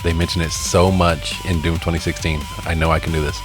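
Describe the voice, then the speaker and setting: A man's voice: rumbling low, flat delivery, fairly quick. A man co-hosting a casual quiz-style chat about movies and games, joking and swearing informally. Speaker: co-host. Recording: guitar music behind the voice.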